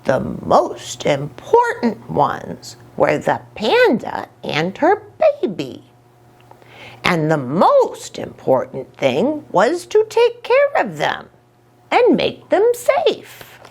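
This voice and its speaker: A kind old male turtle from a storybook, played by a woman, explains things with patient wisdom. The delivery is very slow and deliberate, with hugely varied, swooping intonation. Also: medium pitch, huge pitch swings, creaky, slightly rough, heavily acted. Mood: wise, reassuring.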